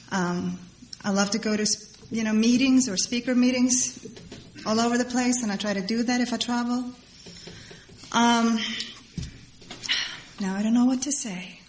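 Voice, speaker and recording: Middle aged lady giving a testimony talk, halting and rambly, echoey hall w a bit of noise. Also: medium pitch. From Iceland. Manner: halting, rambling, repeats phrases for emphasis. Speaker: speaker at a recovery meeting. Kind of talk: personal testimony to a crowd. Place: large meeting hall before a crowd.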